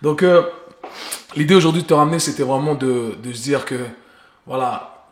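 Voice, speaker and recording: A man's voice: on the high side, moderate pace, slight background noise. A man, hosting a casual podcast and chatting loosely with English slang mixed in. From Geneva, Switzerland. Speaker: podcast host. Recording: roomy echo.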